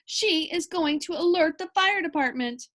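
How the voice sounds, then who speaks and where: Woman acting a high, blustering storybook husband. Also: normal speed, a bit bright. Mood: insistent. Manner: emphatic, exclaiming.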